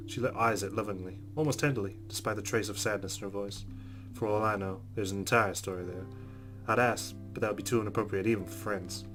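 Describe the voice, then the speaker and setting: A man hosting a let's play, reading visual novel narration aloud and adding expressive casual reactions. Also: fairly low pitch. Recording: music behind the voice.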